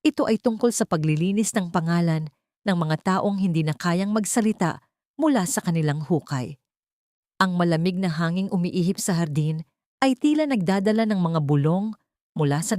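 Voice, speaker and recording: A woman's voice: on the low side, a little mellow, dry recording, studio quality. A woman narrating a scripted dramatic audio story.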